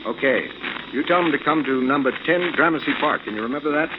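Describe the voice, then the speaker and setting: An actor playing a confident, brisk American adventurer major in a 1940s radio drama. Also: male voice, a little high, natural voice. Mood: brisk, decisive. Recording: noisy, muffled old radio recording.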